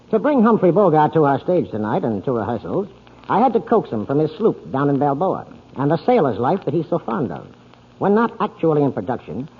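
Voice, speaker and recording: Animated high-voiced male 1940s radio announcer. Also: slightly rough. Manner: polished theatrical announcer delivery. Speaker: radio show host and narrator. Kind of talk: 1940s radio scripted narration. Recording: tinny 1940s radio broadcast recording.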